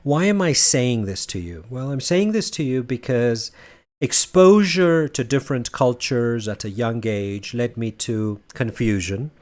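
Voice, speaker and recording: A man who grew up in Barcelona, presenting his research at a conference as a university lecturer. He speaks in a measured way and builds his points as lists. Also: mid-range voice, lively intonation, darkish tone. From Spain (Barcelona).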